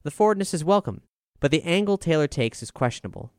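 A man with a smooth voice narrating a scripted music review as a voice-over. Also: on the high side, expressive, fast, darkish tone, dry recording, studio quality.

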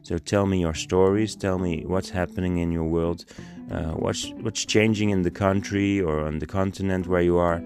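A Dutch man hosting a podcast, speaking slowly in halting English with many pauses. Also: sits low, steady tone, darkish tone, faint music behind. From Netherlands. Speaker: podcast host. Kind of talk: casual podcast talk and street interview. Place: outdoors at a city square monument.

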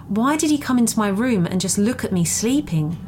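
A woman's voice: mid-range voice, animated, a little fast, a little mellow. A woman reading a first-person scary story as a scripted narration. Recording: faint background noise.

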